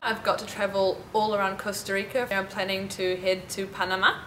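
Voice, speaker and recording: A woman's voice: level tone, a little fast, music in the background. A young woman recounting her volunteer experiences in a reflective, storytelling manner. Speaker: student. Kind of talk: short testimonial snippets. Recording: echoey room with clear rumble.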